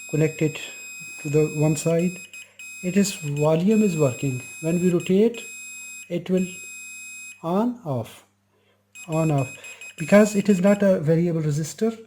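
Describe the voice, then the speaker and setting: A man with a slightly high but mellow, soft-edged voice talks through an electronics repair. He explains each step slowly, in short and plain sentences, as if showing a viewer exactly what his hands are doing. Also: slight background noise. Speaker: electronics repair channel host. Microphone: lapel mic.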